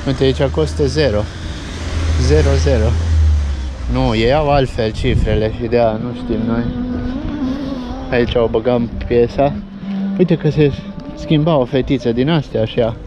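A man's voice: medium pitch, fairly even intonation, pauses often. A man in his thirties hosting a casual travel vlog, walking through a street market and pointing things out to viewers on a handheld camera. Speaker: travel vlogger host. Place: outdoor street market in a town. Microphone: handheld action camera at arm's length. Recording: outdoor street noise. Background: street traffic and town noise.

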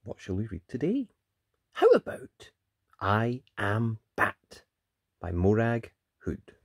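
Children's story host, female, husky voice, unhurried, hugely varied intonation with exaggerated reactions. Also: a bass voice, dry recording, studio quality. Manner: expressive, exaggerated reactions, questioning young listeners. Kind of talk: children's read-aloud with commentary to young listeners.

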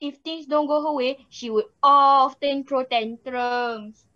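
A woman's voice: sits high, fairly even intonation, slow pace, bright, forceful tone, exaggerated voice. A teenage girl with a Singaporean accent playing an aggrieved fairy-tale grandmother who complains theatrically. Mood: self-justifying. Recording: muffled video-call audio.

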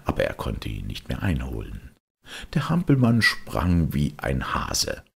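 Male audiobook narrator: very low, creaky and raspy, dramatic children's storytelling. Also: wildly swooping pitch, a little slow. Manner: dramatic, addresses listeners directly.

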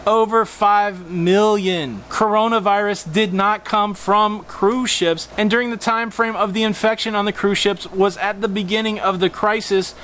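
A man's voice: high voice, fairly even intonation, normal speed, hardly any pauses, a bit bright, a little hiss or hum. A man in his forties hosting a travel vlog, making a casual, argumentative case full of rhetorical questions and punchy emphasis, filmed outdoors on a pool patio. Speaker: host of a cruise travel channel.

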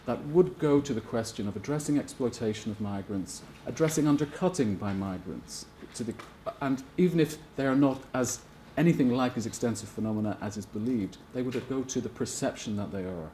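Mellow, hesitant academic man. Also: mid-range voice, animated, slight background noise. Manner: hesitant, many restarts, measured. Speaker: panelist. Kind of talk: academic panel talk. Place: seminar room at a conference table.

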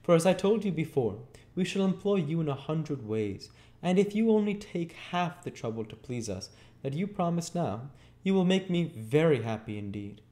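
A man voicing a kindly, genteel young lady in a storybook reading, gentle and gracious in a formal way. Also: fairly high pitch, very animated, normal speed, pitched above the performer's own voice. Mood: gracious, warm.

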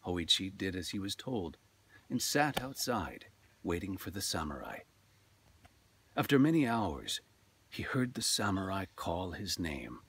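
A man's voice: sits low, very animated, unhurried, a bit bright, slight background noise. A middle-aged man who works as a storyteller, talking and narrating in a dramatic way. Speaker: storyteller, voice actor. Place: outdoors in a forest.

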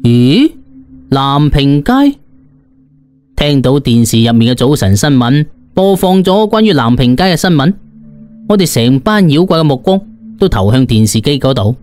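A man's voice: mid-range voice, lively intonation, normal speed, a little mellow, faint music behind. A man with a Cantonese accent narrating a first-person audiobook in a colloquial, dramatised storytelling style.

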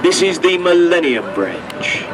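A man's voice: a little high, big pitch swings, slow pace. Chatty man on a boat PA. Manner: chatty, jokey tour patter. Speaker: boat crew commentator. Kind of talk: tour commentary over a microphone. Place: open deck of a river boat, outdoors. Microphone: handheld microphone over a boat speaker, filmed from a distance. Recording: distant PA voice with boat noise.

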